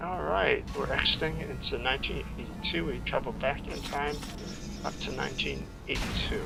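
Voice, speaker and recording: A man's voice: medium pitch, fairly even intonation, moderate pace, creaky, low-quality recording. A man giving casual playthrough commentary on a game, stretching words for emphasis. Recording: loud game music behind voice. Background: game music and sounds.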